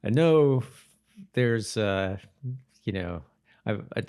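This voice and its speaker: A man's voice: lowish voice, animated, slow pace, darkish tone, smooth. A man co-hosting a podcast, chatting casually and informally about a TV episode. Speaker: podcast co-host. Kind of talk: casual chat reviewing a TV episode.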